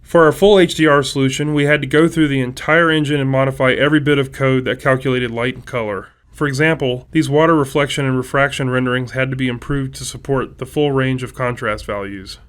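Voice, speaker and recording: A man's voice: medium pitch, steady tone, fairly quick, hardly any pauses, fairly bright tone, smooth. A man reading scripted technical commentary as a game developer.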